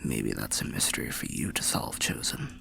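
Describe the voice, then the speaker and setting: A man voicing a weary old undead soul, telling fantasy lore softly in a low, gravelly, breathy roleplay as a slow, courteous, cryptic storyteller. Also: sing-song, soft background music, exaggerated voice. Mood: calm, courteous. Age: older. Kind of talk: soft-spoken fantasy roleplay ASMR narration.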